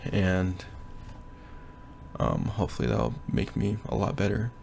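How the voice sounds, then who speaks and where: A young man giving a casual, rambling progress update from his bedroom, often pausing to think aloud. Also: sits low, very animated, vocal fry, a little mellow, slight background noise. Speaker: language learner vlogger. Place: bedroom at home.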